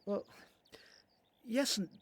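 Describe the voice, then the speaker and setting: A man's voice: very slow, no echo. A man acting in a radio Bible play as a humble, earnest son called to be a prophet, speaking hesitantly.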